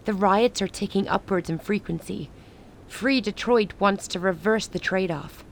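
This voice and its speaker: An actress playing a grave, resolute woman who leads a besieged rebel city in a radio play, speaking in measured, formal lines. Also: mid-range voice, a little hiss or hum, lightly acted. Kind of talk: dramatic radio play dialogue.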